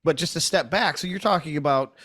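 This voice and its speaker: A man's voice: a little high, a little fast, fairly bright tone, husky, no echo, studio quality. A man hosting an interview podcast, conversationally recapping and clarifying points. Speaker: podcast host.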